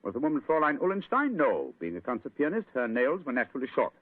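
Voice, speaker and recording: An actor playing an assured gentleman detective explaining his deductions in an old radio drama. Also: medium pitch, huge pitch swings, fast talker, no echo. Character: calm, clever gentleman detective. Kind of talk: 1940s radio acting. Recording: tinny old radio broadcast.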